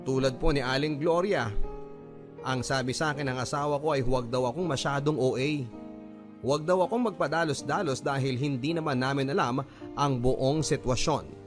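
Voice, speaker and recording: A man narrating a listener's letter in first person, in a measured, earnest storytelling manner. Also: medium pitch. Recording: clear music bed underneath.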